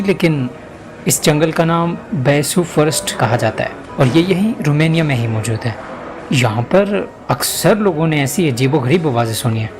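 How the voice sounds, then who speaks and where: A man playing a lively young university student who loves adventure, in an audio drama. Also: fairly high pitch, natural voice. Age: young adult.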